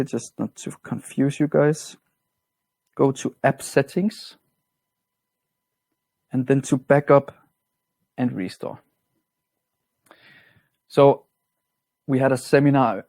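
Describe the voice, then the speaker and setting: Man with a Danish accent, mellow and dry. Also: medium pitch, dry recording. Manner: relaxed, plain-spoken, slightly non-native grammar. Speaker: product trainer. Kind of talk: webinar software walkthrough.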